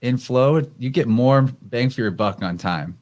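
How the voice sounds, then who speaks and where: A man's voice: mid-range voice, expressive, a little slow, darkish tone. Male livestream host, mid register, loose conversational delivery. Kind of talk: casual conversational hosting.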